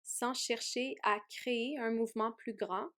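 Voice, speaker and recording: Woman yoga teacher, higher-pitched voice, very slow pace, gentle, soothing, guided meditation, long pauses. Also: fairly even intonation. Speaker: yoga teacher guiding a relaxation.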